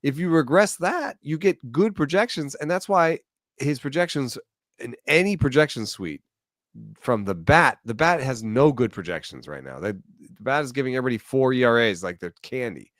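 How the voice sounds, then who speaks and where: A man of middle pitch talks through baseball stats in a casual podcast conversation. He thinks aloud, pauses for numbers and slips in dry jokes. The sound is close and dry. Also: expressive, a bit bright, dry recording. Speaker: recurring podcast guest analyst. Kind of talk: casual baseball analysis chat.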